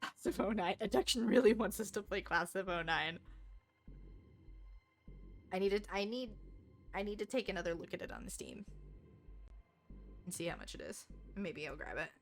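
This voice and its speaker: Bubbly, expressive woman livestreamer. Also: medium pitch, moderate pace, creaky, pauses often, soft background music. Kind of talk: casual live game stream chat with viewers.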